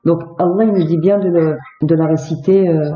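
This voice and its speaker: A woman's voice: on the low side, steady tone, moderate pace. A woman arguing about religion in a phone debate, firm and insistent as she makes her case. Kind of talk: argumentative religious discussion on a call. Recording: muffled, echoey, noisy phone-call recording.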